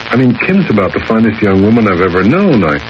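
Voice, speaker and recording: Earnest, bewildered male role, slightly low, halting stammer, 1970s radio drama, dull broadcast sound. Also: sing-song, background noise. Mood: sheepish, hesitant. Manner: halting, stammering, repeats words. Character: bewildered, earnest man, hesitant and easily startled.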